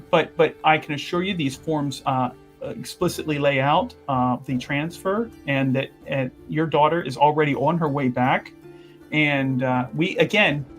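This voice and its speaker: Man acting as a fussy university envoy, slightly high voice, halting, deferential, over-apologetic, formal wording, music behind, tabletop game. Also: fairly bright tone. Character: flustered, obsequious male university representative smoothing over trouble. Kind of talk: improvised tabletop roleplay.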